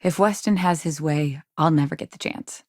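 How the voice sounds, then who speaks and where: A woman's voice: low voice, normal speed, darkish tone, no room sound, clean studio sound. A woman acting in a video game as a frustrated, ambitious businessman's wife in a frontier city, with a polished, earnest, exasperated manner.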